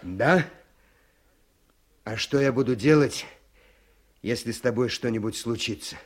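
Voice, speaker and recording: An actor playing a desperate, servile henchman in a radio play, with a husky creaky voice and halting, stammering speech. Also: medium pitch, natural voice. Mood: pleading, hurt. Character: desperate, servile male henchman pleading for his job.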